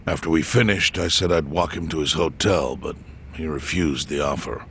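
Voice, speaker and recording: An elderly yakuza chairman in a game cutscene. The voice is extremely deep and full of gravel. He speaks with weary gravity, deliberate and composed. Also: a bit bright, slight background noise. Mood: somber, reflective. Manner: measured, solemn, deliberate. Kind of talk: dramatic game voice acting.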